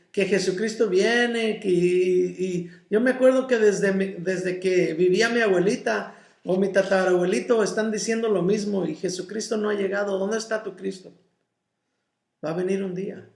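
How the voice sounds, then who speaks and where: A male pastor, a native English speaker, leads a Bible study in a high, gravelly voice. He teaches slowly and deliberately, pausing to check in with his listeners. The sound rings in an echoey church room. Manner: mixes in English words.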